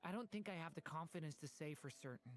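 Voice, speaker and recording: A man's voice: fairly high pitch, animated, fast talker, faint music behind, lightly acted. A man voicing an earnest, troubled, compassionate young man in a video game, with a hesitant manner.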